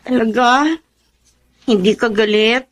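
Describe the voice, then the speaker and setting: Casting: woman to play sensitive young wife, very meek, plaintive high voice, radio drama. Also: fairly even intonation, measured pace, close to an everyday voice. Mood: hurt, downcast. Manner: plaintive, apologetic.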